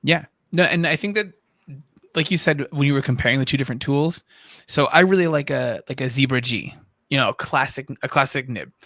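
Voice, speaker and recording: A man's voice: mid-range voice, fairly quick, fairly bright tone, no echo, clean studio sound. A man co-hosting an art livestream, chatting casually and conversationally as a fellow artist.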